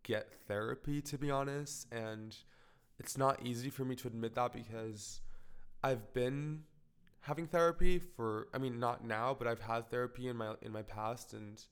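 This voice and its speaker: A man's voice: medium pitch, steady tone, normal speed, clear, resonant tone. Candid young man with a cold. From Portugal. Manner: frank, rambling, heavy filler words and swearing. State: slightly congested with a cold. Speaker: vlogger. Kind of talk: candid personal vlog monologue.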